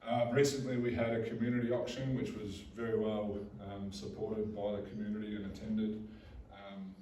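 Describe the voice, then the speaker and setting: Booming Australian man in an echoey hall. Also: flat delivery, mellow, a little hiss or hum. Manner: halting, many fillers and restarts. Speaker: mining company representative. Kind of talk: community information session presentation. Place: public meeting hall. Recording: roomy hall recorded from a distance.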